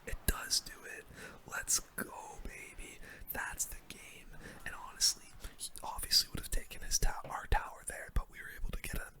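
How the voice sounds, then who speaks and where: A person whispering casual, slangy gameplay commentary in an ASMR style, with a breathy, unvoiced delivery. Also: moderate pace, pushed and bright. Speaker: gaming content creator and host.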